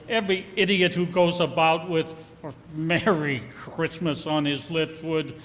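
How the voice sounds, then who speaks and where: In a reverberant hall, a man plays a bitter old miser in an old-time radio drama. His voice sits fairly high and moves at a slow, deliberate pace, barking out curt scorn. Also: a bit bright. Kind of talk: 1940s radio play acting. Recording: live performance in a reverberant hall.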